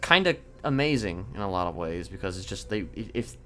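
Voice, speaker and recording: A man playing a casually sadistic criminal in an online roleplay, talking in a halting, rambling, matter-of-fact way with casual swearing. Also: lowish voice, expressive, a bit bright, soft background music. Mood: rambling, self-satisfied. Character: rambling, casually sadistic male criminal confessing his violent deeds. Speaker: streamer role-playing a character. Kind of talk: improvised in-character roleplay dialogue. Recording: clear background noise.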